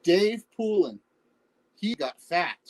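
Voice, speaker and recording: A woman's voice: fairly low pitch, lively intonation, darkish tone, low-quality recording. Woman doing an older husband in a play, defensive, swoops up and down, trips over words when cornered. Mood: needling, reluctant. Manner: halting, defensive, repeats words. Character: older husband, Vietnam veteran, defensive, exasperated, evasive. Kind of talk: virtual staged play reading, naturalistic dialogue.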